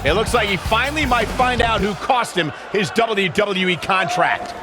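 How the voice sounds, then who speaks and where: An actor playing a dramatic, excitable wrestling commentator calling the show in a video game. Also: male voice, sits high, moderate pace. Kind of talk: sports commentary. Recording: very loud crowd and music.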